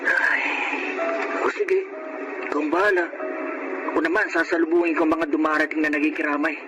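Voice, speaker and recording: A man playing a young, grieving older brother in a radio drama, subdued and gently firm. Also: on the high side, a little fast, music in the background, lightly acted. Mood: weary, gentle. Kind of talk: Filipino radio drama acting. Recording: noisy ambience behind voice.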